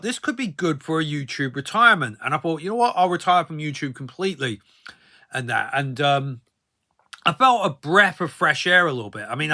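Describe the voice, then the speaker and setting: A middle-aged man with a very bright, forceful voice pitched a little high. He talks in a casual, rambling vlog style, as if chatting through a personal story, and often drops in small filler tags. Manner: rambling, conversational, frequent verbal tags like "you know" and "though". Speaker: vlogger.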